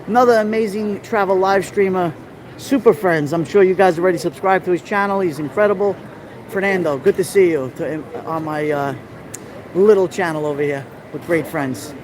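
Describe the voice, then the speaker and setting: A middle-aged New York man walks a rainy city street with his phone, talking to his live stream audience in a fairly high voice. He rambles in a friendly way and greets viewers by name as he goes. Loud street noise comes through the phone mic around him. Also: normal speed. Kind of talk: casual walking tour live stream. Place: outdoor city street during a festival, raining. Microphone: handheld phone camera.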